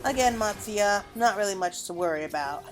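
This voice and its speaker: A woman's voice: medium pitch, lively intonation, brisk, over music, low-quality recording. A woman giving casual, chatty commentary as she plays a game, reacting aloud as she goes. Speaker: gamer recording a playthrough.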